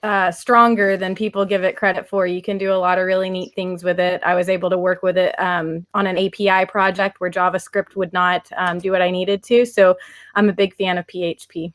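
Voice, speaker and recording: A woman speaking casually on a video call, hesitant with many pauses. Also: fairly low pitch, little pitch movement, a bit bright, no echo.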